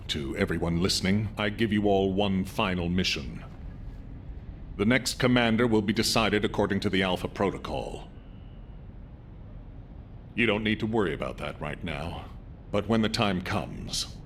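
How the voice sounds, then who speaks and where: A steady, caring male commander addresses his troops one last time in a game cutscene. His voice is deep and gravelly. A faint rumble sits underneath. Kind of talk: video game cutscene dialogue.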